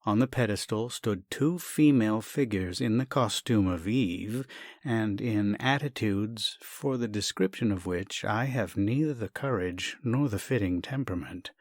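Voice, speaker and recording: A man reading a classic comic short story as scripted narration, in a measured, wry, theatrical way. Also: on the low side, no echo, clean studio sound. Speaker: story reader, actor.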